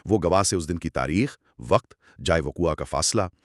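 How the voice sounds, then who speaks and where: A man's voice: low voice, animated, normal speed, dry recording, clean studio sound. A man co-hosting a scripted explainer discussion, articulate and explanatory, with formal wording. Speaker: co-host explaining law.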